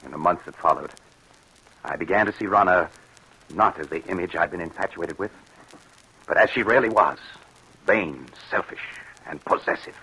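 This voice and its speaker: Radio thriller lead: anguished husband, lowish husky voice, forceful edge, haunted and halting. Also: male voice, full character voice, rough recording. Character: haunted, regretful husband tormented by his jealous wife. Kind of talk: old-time radio thriller acting.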